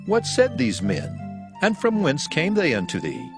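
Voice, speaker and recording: An actor playing a stern, authoritative Hebrew prophet who declaims in a dramatized Bible. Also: male voice, on the high side, huge pitch swings, measured pace, music in the background, full character voice. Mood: stern questioning. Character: stern Hebrew prophet delivering divine warnings. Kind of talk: dramatized Bible audio acting.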